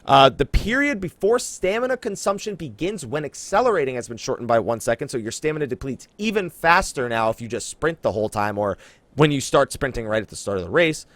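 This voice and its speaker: Man, casual gaming chat, animated delivery, emphatic exaggeration, dry close sound. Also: mid-range voice, a little fast, no room sound. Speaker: podcast host. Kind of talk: casual game podcast chat.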